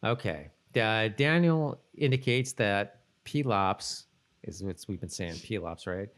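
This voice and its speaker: A man co-hosting a podcast in casual chat, reading out bits of trivia and thinking aloud in a halting way. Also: on the low side, lively intonation, a bit bright. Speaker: podcast co-host.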